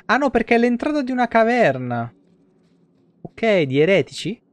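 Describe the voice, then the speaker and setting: A man's voice: extremely high pitch, big pitch swings, moderate pace. A man in his thirties with an Italian accent doing casual, colloquial live gameplay commentary, swearing now and then. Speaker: video game streamer. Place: small indoor room.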